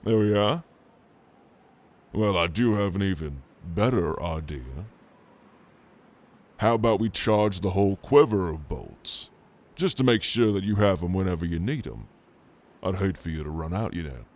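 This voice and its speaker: A man playing a flirtatious, protective orc in an intimate ASMR roleplay, with a teasing, casual and gentlemanly manner. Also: low voice, animated, moderate pace, pauses often. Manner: teasing, intimate, casual drawl with soft chuckles. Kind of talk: ASMR roleplay addressed to the listener.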